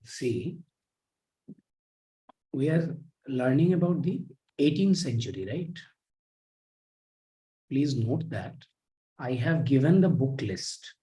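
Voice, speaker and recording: A man teaching an online history class on a video call, reading out students' chat messages with many pauses and fillers. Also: mid-range voice, animated, dark tone, low-quality recording.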